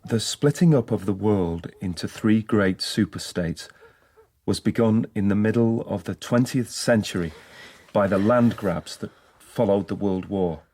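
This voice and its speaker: An actor playing an earnest, yearning, melancholy rebel in a radio drama. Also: male voice, on the low side, a little slow, mellow, husky. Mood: reading gravely. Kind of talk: British radio drama acting.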